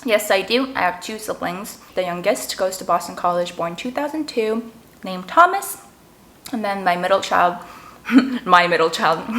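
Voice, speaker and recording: A woman in her twenties, raised in Canada, chatting casually and brightly to viewers while tasting desserts. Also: on the low side, a little hiss or hum. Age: 20s. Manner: bubbly, chatty, eating between sentences. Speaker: vlogger. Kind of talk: casual mukbang chat.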